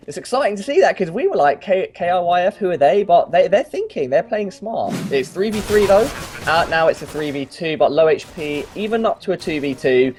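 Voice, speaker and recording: A man's voice: sits high, fairly quick. Chatty young man casting esports. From London. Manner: chatty, jokey, excitable on big plays. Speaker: amateur game caster. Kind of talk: casual esports commentary.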